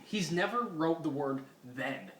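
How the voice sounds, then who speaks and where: A man's voice: fairly high pitch, animated, measured pace, fairly bright tone. Roomy, distant recording of a man reading theatrically. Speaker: fan fiction reader. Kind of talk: comedic dramatic reading of a story.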